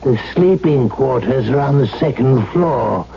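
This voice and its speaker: A man playing a wary, bitter old reclusive alchemist in a radio drama, halting and archaic in his speech. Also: mid-range voice, lively intonation, vocal fry. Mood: wary, brusque. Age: older. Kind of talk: 1970s radio drama acting. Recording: dull, noisy 1970s radio recording.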